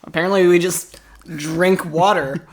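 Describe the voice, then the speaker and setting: A man's voice: sits high, fairly even intonation, measured pace. A man co-hosting an improv comedy podcast, bantering casually in a dry, sarcastic way.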